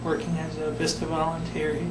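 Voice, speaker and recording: An older man, a retired psychologist, answering interview questions slowly and thoughtfully, pausing often. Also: on the high side, completely flat delivery. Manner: slow, thoughtful, with many pauses and fillers. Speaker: retired behavior psychologist, volunteer. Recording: echoey room, mic at a distance, background noise.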